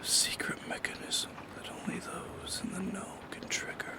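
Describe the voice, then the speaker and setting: A man voicing a lonely, shy shadow creature in an ASMR roleplay, speaking in a soft, halting, intimate whisper with a creaky edge. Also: natural voice. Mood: anxious musing. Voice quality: breathy whisper with creaky edges.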